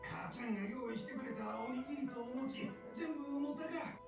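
Creaky old Kansai-accented father. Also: very high-pitched, animated, fairly quick, heavily acted. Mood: worried, fussing. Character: elderly, gently worried father and fireworks craftsman. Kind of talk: Japanese anime game voice acting. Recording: music behind the voice.

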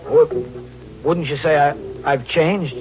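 Jittery fugitive drifter, old radio thriller. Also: male voice, fairly high pitch. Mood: stunned. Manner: halting, repeats himself, tense confessional. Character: jittery drifter on the run, narrating his crime. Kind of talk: 1940s radio thriller acting. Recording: dull vintage radio recording, loud music.

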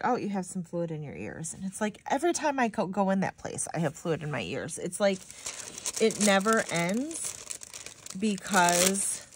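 A woman's voice: lowish voice, slight background noise. A young woman with a slightly stuffy, nasal voice, rambling casually to viewers while decorating a planner. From Michigan. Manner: rambling, chatty, many "like"s, talks to viewers. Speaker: planner vlogger.

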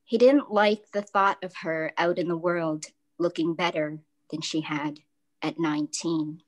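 A woman in her forties, an author, reading fiction aloud calmly and chatting about it on a video call from home. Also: low voice, a little slow. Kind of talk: literary reading and casual discussion. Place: home room with floral wallpaper.

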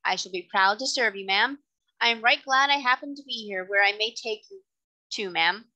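A woman's voice: medium pitch, moderate pace, pushed and bright, highs cut off. A woman voicing a kindly, firm male cab driver in a storybook, plain-spoken and respectful. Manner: plain-spoken, respectful working-class address.

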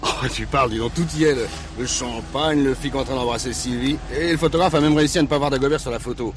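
An actor playing a slangy, doubtful gangster's sidekick in a 1970s crime drama. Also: male voice, mid-range voice, fast, close to an everyday voice. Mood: admiring. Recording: clear traffic and car noise.

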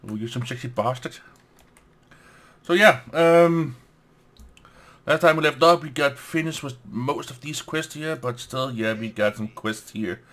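A young man with a Danish accent, a native Danish speaker, giving casual let's play commentary, excitable, swearing and laughing at the game as he plays. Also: medium pitch, unhurried, long pauses. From Denmark.

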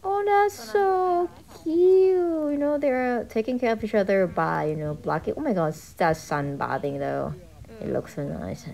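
A woman's voice: on the high side, a little slow, vocal fry. A young woman from Thailand with a Thai accent, chatting casually through a travel vlog. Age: young adult. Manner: chatty, upbeat, with frequent "alright" and "you know". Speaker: vlogger. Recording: voice-over with loud background music.

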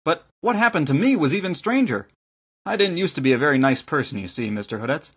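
A man's voice: a little high, animated, fast, a bit bright, breathy edge, pitched above the performer's own voice. A man voicing a polite, earnest young working lad from a village in an audiobook. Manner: polite, eager. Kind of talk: audiobook character acting.

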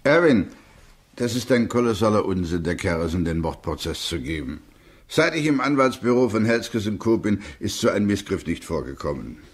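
A man playing a pessimistic, grumbling chief clerk of a law office in a radio play, husky, emphatic and disapproving. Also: on the low side, sing-song, normal speed. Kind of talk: German radio drama acting.

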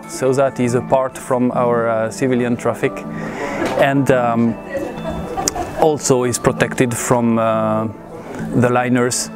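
Male, 40s, English, flat intonation, halting interviewee delivery, music underneath. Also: mid-range voice. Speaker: head of air traffic control. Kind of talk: interview answers. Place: busy air traffic control room. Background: background music.

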